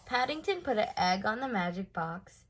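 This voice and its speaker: A woman reading a children's story aloud in an expressive way, stressing words for effect. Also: medium pitch, moderate pace, slight background noise. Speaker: storybook reader.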